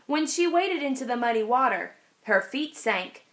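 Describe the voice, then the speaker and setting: A woman narrating a children's picture book aloud in an expressive storytelling style. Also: sits high, moderate pace.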